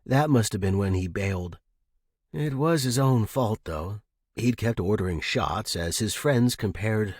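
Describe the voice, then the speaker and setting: Male podcast host and narrator, lower-pitched with a rough husky edge, expressive storytelling read, very dry close sound. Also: no echo.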